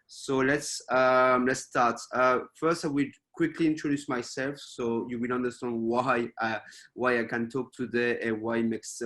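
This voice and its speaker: A man's voice: mid-range voice, a bit bright. Male guest speaker, French accent, hesitant webinar delivery over a call mic. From France. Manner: halting, many fillers and restarts. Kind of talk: presenting to a webinar. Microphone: video call.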